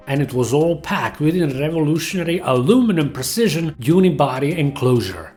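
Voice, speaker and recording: A man's voice: a little high, a little mellow. A man hosting a tech channel, narrating a scripted history of laptops in English with a non-native accent.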